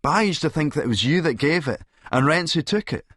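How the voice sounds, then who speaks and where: A cocky Scottish schemer, acted by a man for an audiobook. He is smooth-talking, theatrical and taunting, pitched up a little from a low register. The sound is dry and close. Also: animated, quick pace, fairly bright tone, no echo. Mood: mocking.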